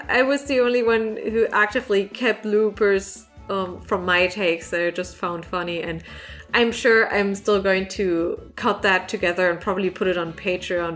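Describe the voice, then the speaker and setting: A woman giving casual Q&A answers to her viewers as a webcomic artist, in an informal, animated and emphatic way. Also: mid-range voice. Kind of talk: casual Q&A vlog chat.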